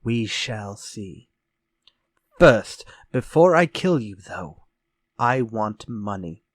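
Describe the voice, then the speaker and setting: An actor playing a sinister, vengeful ex-convict murderer in retro radio drama style, speaking in a slow, theatrical, menacing way. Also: male voice, lowish voice, sing-song, no room sound, heavily acted. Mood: grim, theatrical.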